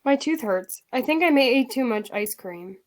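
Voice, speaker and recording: A woman's voice: on the high side, expressive, normal speed, lightly acted. A woman playing an eager, easily pleased dental patient in a scripted radio commercial.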